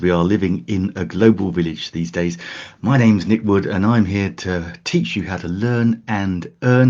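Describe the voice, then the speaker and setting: A man from the UK hosting a casual how-to live stream, his voice croaky this early, chatty with lots of fillers and restarts. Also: deep voice, a little mellow, dull audio. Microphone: desk USB microphone.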